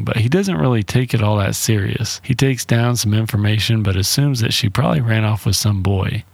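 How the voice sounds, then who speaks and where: A man's voice: mid-range voice, gravelly, darkish tone, dry recording. A man narrating a casual, profane movie recap in an irreverent, slangy and wry way. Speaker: film reviewer and narrator.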